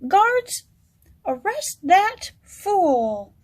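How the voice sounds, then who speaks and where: A woman voicing an exasperated, commanding king in a children's storybook, barking orders and growling out drawn-out words. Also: very high-pitched, big pitch swings, voice pushed up, exaggerated voice. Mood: angry, commanding.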